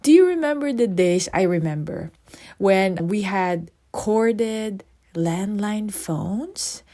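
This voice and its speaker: A middle-aged woman from the Philippines, husky this morning, hosting her podcast in a chatty way and asking her listeners questions. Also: medium pitch, slow. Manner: chatty, asks listeners questions, animated asides. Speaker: podcast host and psychotherapist. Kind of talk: podcast monologue.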